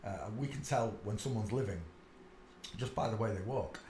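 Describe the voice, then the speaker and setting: A man's voice: on the low side, normal speed, a little mellow, a little hiss or hum. A man hosting his channel, talking insistently in a casual, rambling monologue while going through pictures, with many asides and "uh"s. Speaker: channel host. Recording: echoey room with faint ambience.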